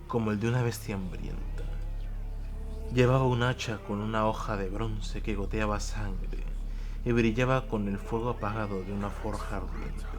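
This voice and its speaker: A man narrating a scripted war story for an audio drama in a dramatic storytelling style. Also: fairly low pitch. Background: prominent music.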